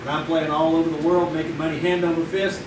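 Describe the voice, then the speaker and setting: An actor playing a boastful young would-be songwriter in a live old-time radio play, wry and self-assured, recorded from a distance on a theater stage. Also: male voice, fairly high pitch, level tone, fast talker, reverberant, background noise, natural voice. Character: young would-be songwriter, cocky card player.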